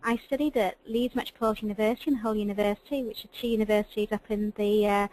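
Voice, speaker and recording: A woman's voice: medium pitch, fairly even intonation, a little mellow, poor audio. Woman, Yorkshire accent, webinar presenter, hesitant, stop-start. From Yorkshire, England. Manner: hesitant, halting, repeats words.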